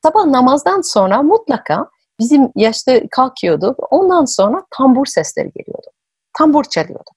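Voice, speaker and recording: A woman hosting an interview, telling personal stories in a conversational, expressive way. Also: high-pitched, moderate pace, husky, no room sound, studio quality. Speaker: podcast host. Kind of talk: conversational storytelling.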